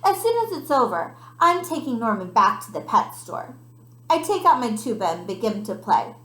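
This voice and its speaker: A woman voicing an earnest young child who narrates a picture-book story with expressive emphasis. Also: fairly high pitch, normal speed. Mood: eager. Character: earnest, dramatic young child telling a pet goldfish story. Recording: roomy, echoey sound.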